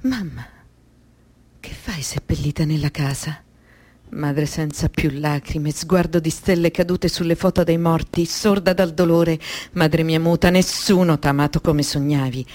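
An actress playing a tormented, doomed young daughter in an intense, poetic radio drama monologue. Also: female voice, sits low, fairly even intonation, unhurried, darkish tone, dry recording. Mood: solemn, mournful.

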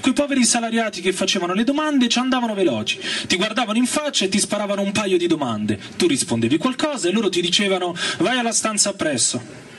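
A man's voice: high-pitched, expressive, fast talker, hardly any pauses, slightly rough. A man giving a dramatic, colloquial reading of a novel, telling it emphatically in short phrases. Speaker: reader and performer of a novel. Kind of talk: dramatic spoken-word reading with music. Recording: distant mic under loud music. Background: electric organ and guitar music.

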